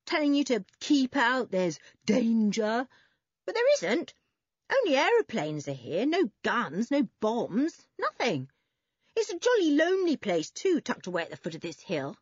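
A woman's voice: sits high, huge pitch swings, moderate pace, slightly rough, no room sound, highs cut off, pitched above the performer's own voice, heavily acted. A woman voicing a cocky, short-tempered young boy in a children's story, breezy and insistent. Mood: airy, dismissive.

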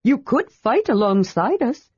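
A woman's voice: a little high, big pitch swings, measured pace, darkish tone, slightly rough, no room sound, lo-fi sound, pitched above the performer's own voice, heavily acted. A woman voicing a small, cheerful and curious kender who rambles eagerly.

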